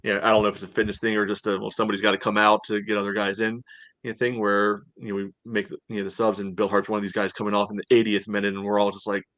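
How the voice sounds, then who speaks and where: Low-voiced man, dry close sound. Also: level tone, racing, fairly bright tone, no room sound. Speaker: soccer podcast co-host. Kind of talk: casual sports discussion.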